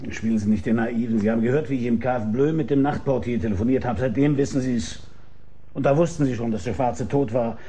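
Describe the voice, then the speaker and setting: Actor as cool mellow-voiced private detective. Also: male voice, mid-range voice, fast, close to an everyday voice. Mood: confident, accusing. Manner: dry, confronting, commanding. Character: cool, shrewd male private detective, firmly in control. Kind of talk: radio drama acting.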